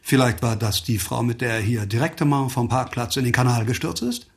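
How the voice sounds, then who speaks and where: An actor playing a hard, sardonic police investigator in a radio crime drama, commanding and clipped. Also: male voice, mid-range voice, brisk, creaky, close to an everyday voice. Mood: probing. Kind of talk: German radio drama acting.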